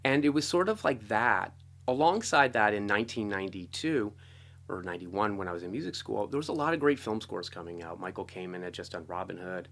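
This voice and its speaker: Low-voiced middle-aged American man, talkative. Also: animated, brisk, fairly bright tone. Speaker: interview guest, composer and guitarist. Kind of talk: casual interview storytelling.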